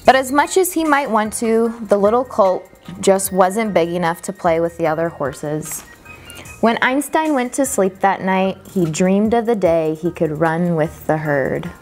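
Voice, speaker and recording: A woman reading a children's picture book aloud as a storyteller, expressively and deliberately, drawing out key words for emphasis. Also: medium pitch, lively intonation, faint music behind.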